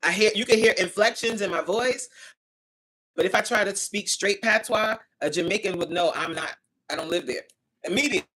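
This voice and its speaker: A woman hosting a casual livestream, reading chat aloud, animated and outspoken, exclaiming often and laughing as she talks. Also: mid-range voice, brisk. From United States.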